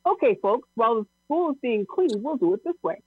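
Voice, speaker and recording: Man plays orderly meeting chair: high voice, authoritative, thin phone-like sound. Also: big pitch swings, fairly quick, husky, full character voice. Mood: firm. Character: brisk, firm school leader chairing a meeting. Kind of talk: amateur audio play acting. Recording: tinny, band-limited video-call line.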